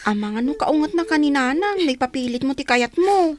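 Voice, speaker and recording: A woman's voice: extremely high pitch, lively intonation, moderate pace, a bit bright. A woman playing a bossy, pert young older sister who teases and chides, in an Ilocano radio drama. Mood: pert chiding.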